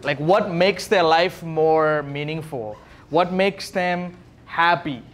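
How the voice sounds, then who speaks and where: Higher-voiced man teaching informally. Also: slow pace, a bit bright. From Sri Lanka. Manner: informal, question-driven explaining. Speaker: youth pastor teaching a class. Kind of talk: casual interactive teaching talk.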